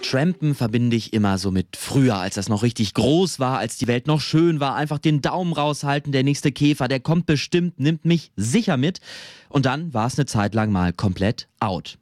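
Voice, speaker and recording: A man introducing a radio report in a relaxed, chatty presenter's manner. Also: mid-range voice, normal speed, dry recording, clean studio sound, faint music behind.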